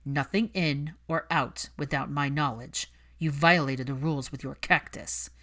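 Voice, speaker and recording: Female reader as sneering young man, low pitch, stern, clipped, deliberate, icy controlling temper, audiobook drama. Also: fairly bright tone. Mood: cold, stern.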